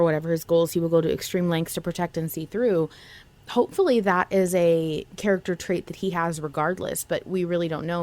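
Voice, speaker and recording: A woman's voice: on the low side, darkish tone. A woman hosting a podcast in casual discussion, speaking in an earnest, reflective way. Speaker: podcast host.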